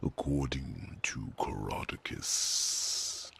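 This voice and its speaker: Huge, extremely deep gravelly dragon. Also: male voice, animated, bright, forceful tone, exaggerated voice. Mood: solemn guidance. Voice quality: gravelly, breathy rumble. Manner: slow, measured, reassuring, with thoughtful hums. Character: huge old dragon, gentle, protective mentor. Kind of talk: ASMR fantasy roleplay.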